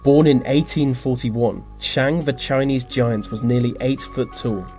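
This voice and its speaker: A man reading scripted narration for a list video, slipping into dry, deadpan asides. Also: mid-range voice, a little slow. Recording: music behind the voice. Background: background music.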